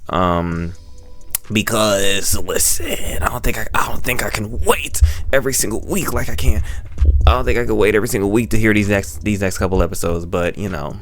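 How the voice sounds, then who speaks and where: Male YouTube reviewer, low register, chatty and self-aware, loops back over phrases playfully, close-miked. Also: moderate pace, fairly bright tone, faint music behind. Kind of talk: casual chatty review to camera. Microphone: microphone held very close to the mouth.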